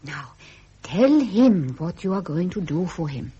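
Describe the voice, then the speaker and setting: A woman's voice: lowish voice, big pitch swings, measured pace, mellow, slightly rough, highs cut off, full character voice. An actress playing a delicate, anxious young princess and doting mother in a radio drama, speaking breathily, tender and plaintive. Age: young adult. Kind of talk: 1970s British radio drama acting.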